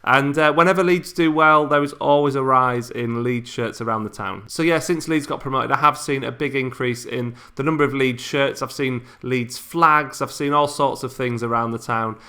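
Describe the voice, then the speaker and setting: A man's voice: mid-range voice, fairly even intonation, moderate pace, hardly any pauses, bright, forceful tone. A man, answering subscriber questions in a casual, chatty way with frequent ums and restarts. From England. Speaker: YouTuber.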